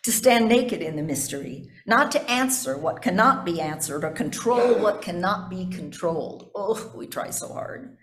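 An older woman pastor delivering a sermon from notes in deliberate, measured phrases, with asides to her congregation. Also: mid-range voice, animated. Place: indoors in a church sanctuary at a pulpit. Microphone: gooseneck pulpit microphone. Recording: echoey church sanctuary.

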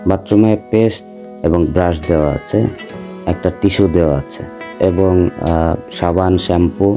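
Low-voiced Bangladeshi man narrating over music. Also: normal speed, warm tone. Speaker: travel vlogger. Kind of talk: hotel review narration.

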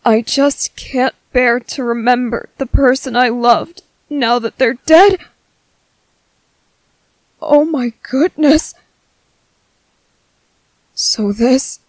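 A woman playing a gentle, curious young merboy in an ASMR roleplay, hesitant and musing to himself. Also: a little high, no echo, low-quality recording. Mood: tender, sorrowful, then flustered, concerned. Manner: hesitant, musing to self, repeating words. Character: gentle, curious young merboy, soft-hearted and easily flustered.

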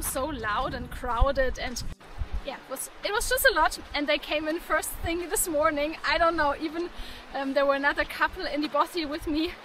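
A woman's voice: high-pitched, a bit bright, poor audio. A young woman vlogging to a handheld camera on a mountain hike, chatty and laughing often. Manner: chatty, laughs often, restarts mid-sentence. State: out of breath while climbing. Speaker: hiking vlogger. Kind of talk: casual vlog to camera. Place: outdoors in a windy mountain valley. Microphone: camera held at arm's length.

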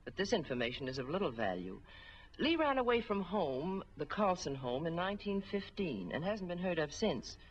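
An actress playing a businesslike middle-aged records official, formal and precise, in a 1940s film. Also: female voice, lowish voice, sing-song, moderate pace, husky. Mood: businesslike, regretful. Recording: muffled 1940s film soundtrack.

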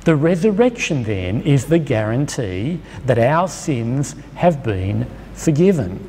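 A middle-aged man with an Australian accent, preaching a sermon at a lectern microphone, emphatic and slowing down on key phrases. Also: medium pitch, sing-song, dark tone, slight background noise. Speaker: pastor. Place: church hall with a lectern. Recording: long reverb of a church hall.

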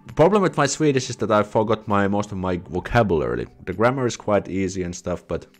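Chatty Finnish man streaming live, low voice. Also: big pitch swings, fast. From Finland. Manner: chatty, restarts words, switches into Swedish phrases. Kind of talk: casual live chat reading viewer comments. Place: small indoor room at home. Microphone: headset with desk mic in front.

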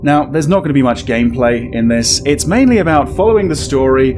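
A man's voice: medium pitch, a little fast. A man in his thirties giving casual gameplay commentary to his viewers, close on a desk microphone. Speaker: host of a gaming channel. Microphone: desk microphone close up, headset worn. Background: game music.

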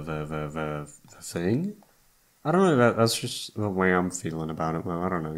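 A young man chatting casually to his listeners in a rambling, gushing, self-deprecating way with plenty of swearing. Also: fairly low pitch. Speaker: audio roleplay creator. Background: faint music.

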